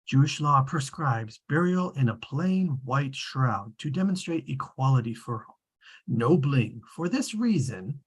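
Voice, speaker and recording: A man playing an omniscient, droll storybook narrator at a table read, measured and precise. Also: medium pitch, lively intonation, breathy edge.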